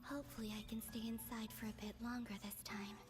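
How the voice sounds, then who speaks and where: A woman voicing a soft-spoken, puzzled young woman in a fantasy video game, often musing to herself. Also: fairly high pitch, flat delivery, quick pace, lightly acted. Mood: hushed wondering. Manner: musing aloud to herself in hushed, breathy wondering. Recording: game music behind the voice.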